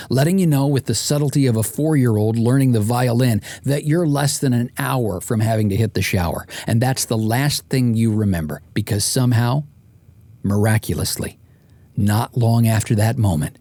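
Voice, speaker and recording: A man's voice: medium pitch, normal speed, warm tone, dry recording. Man, scripted narration, wry humor, exasperated emphasis, mid-range voice, dry close-miked recording. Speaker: author and podcast host narrating.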